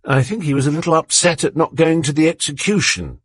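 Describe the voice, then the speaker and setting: A man's voice: on the high side, a little fast, husky, dry recording, pitched above the performer's own voice. A man voicing a guarded, dutiful man who speaks with restraint. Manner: restrained and careful.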